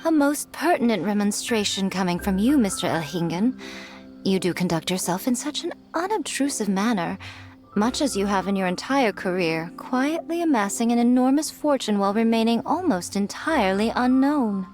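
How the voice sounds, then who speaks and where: A woman's voice: mid-range voice, expressive, normal speed, darkish tone, natural voice. A woman voicing a shrewd, teasing noblewoman in a video game, with an elegant, wry and formal manner. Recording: music playing behind the voice.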